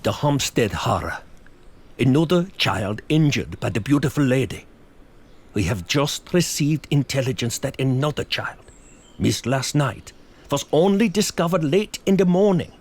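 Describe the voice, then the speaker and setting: An actor playing a learned older professor and vampire hunter in a gothic audio drama, grave, probing and deliberate. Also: male voice, medium pitch, expressive, breathy edge. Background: music.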